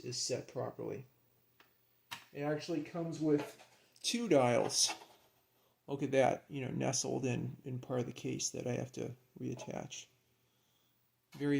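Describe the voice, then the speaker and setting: Creaky-voiced man narrating hands-on work. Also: mid-range voice, a little mellow. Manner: absorbed, admiring, murmuring asides while working. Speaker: hobbyist demonstrating a radio rebuild. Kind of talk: casual hands-on narration while working.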